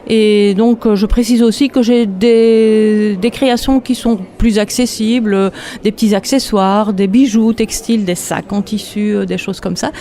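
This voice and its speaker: A woman from Belgium, a textile artist chatting relaxed in an interview, laughing often between hesitant fillers. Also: medium pitch, normal speed. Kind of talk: casual interview.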